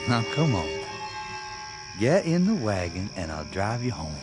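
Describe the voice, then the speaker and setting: An actor playing an easygoing young country fiancé, folksy and soothing, in a radio drama. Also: male voice, lowish voice, very animated, measured pace, over music. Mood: coy, soothing. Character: easygoing young country husband-to-be with secretive calm.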